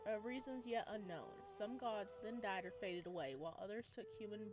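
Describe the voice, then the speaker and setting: A woman's voice: medium pitch, a little fast. A reactive, giggly woman streaming a visual novel, commenting and reading its text aloud, with music behind. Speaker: game streamer.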